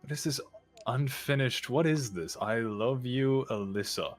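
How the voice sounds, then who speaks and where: A man's voice: medium pitch, unhurried, faint music behind. A man playing a tabletop roleplay game, chatting casually as himself and his character.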